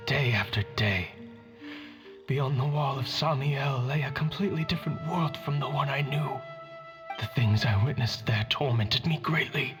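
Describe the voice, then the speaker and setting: An actor voicing the solemn, melancholic mind fragment of a dead scholar in a game, with a measured, theatrical delivery that sinks toward breathy near-whispers. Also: male voice, medium pitch, sharp tone. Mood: sorrowful. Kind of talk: dramatic game voice acting, slow monologue.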